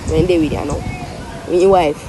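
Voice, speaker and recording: A young man with a Jamaican accent telling stories casually to friends in an animated, repetitive, slangy way. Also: fairly high pitch, normal speed. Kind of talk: casual storytelling among friends. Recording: noisy outdoor recording with traffic.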